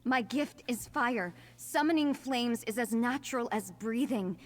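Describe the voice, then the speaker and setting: A woman's voice: on the high side, unhurried, long reverb tail. A woman voicing an anxious young woman who fears her own magic, in a video game. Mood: uneasy, afraid. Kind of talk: video game character dialogue.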